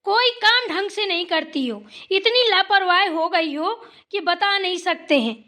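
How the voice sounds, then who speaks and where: A very high, piercing cartoon mother-in-law from a village household, played by a woman. She scolds sharply and sarcastically, short-tempered and full of insults. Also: lively intonation, measured pace, sharp tone, exaggerated voice. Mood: angry scolding. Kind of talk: Hindi family cartoon dialogue.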